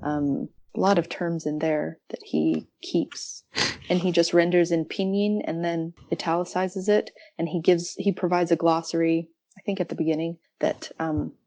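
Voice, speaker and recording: A woman's voice: lowish voice, flat delivery, moderate pace, mellow, no room sound. A woman speaking as a guest in a casual literary discussion, reflective and informal, prone to giggling. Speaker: podcast guest.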